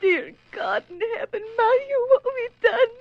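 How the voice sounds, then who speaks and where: A woman's voice: very high voice, very animated, moderate pace, no room sound, heavily acted. A woman playing a possessed wife in a horror radio play, menacing and mocking, sliding into whispers and wails. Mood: anguished. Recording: muffled lo-fi radio drama recording.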